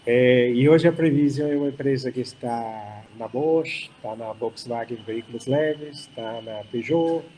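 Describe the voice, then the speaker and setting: Older Chilean-accented man, mellow voice. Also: medium pitch, slight background noise, low-quality recording. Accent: Chilean Spanish. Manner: unhurried, many fillers and restarts. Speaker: startup founder panelist. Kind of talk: hybrid panel talk.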